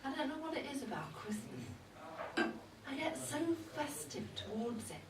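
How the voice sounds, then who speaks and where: A woman's voice: high-pitched, lively intonation, normal speed. Cheeky older woman, acted out by a woman, distant and roomy. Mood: wry, exasperated. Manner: wry, bawdy, confiding, chatty. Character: cheeky, boozy older mother-in-law gossiping at Christmas. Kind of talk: comic dramatic monologue read to a live audience. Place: indoor live event at a pub. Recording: roomy, distant live recording with audience noise. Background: audience crowd.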